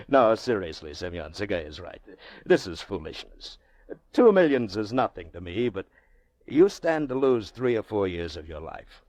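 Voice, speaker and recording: Pompous rich gentleman, swooping theatrical delivery. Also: lowish voice, big pitch swings, dry recording. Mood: condescending. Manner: pompous, rhetorical, drawn-out emphasis. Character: haughty, very wealthy Russian gentleman, goading and theatrical. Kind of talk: old-time radio drama acting.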